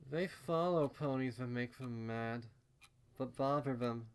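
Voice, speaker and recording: A man's voice: medium pitch, unhurried, a little hiss or hum, natural voice. A man voicing a nervous, rambling young female pony with a hesitant, stammering, earnest manner in a fan fiction story. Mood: uneasy warning. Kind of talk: acted fan fiction reading.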